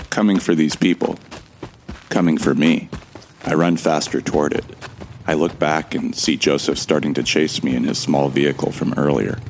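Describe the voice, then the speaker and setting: Male actor as a grim lead narrator, very deep and gravelly. Audio drama. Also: slight background noise. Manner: grim, resigned first-person telling. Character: determined young man running toward doom. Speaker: first-person narrator and lead character.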